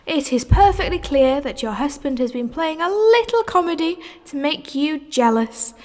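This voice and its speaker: Audio drama casting: a worldly, condescending stage star, high voice with animated, theatrical swoops, reverberant hall. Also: female voice, moderate pace. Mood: knowing, condescending. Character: poised, condescending, worldly successful stage actress.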